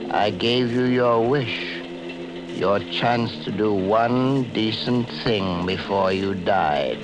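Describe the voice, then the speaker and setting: An actor playing the calm, knowing ghost of a dead man, solemn and ominous in 1940s radio horror style. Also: on the low side, slow pace, poor audio. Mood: eerie, solemn. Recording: old radio broadcast sound.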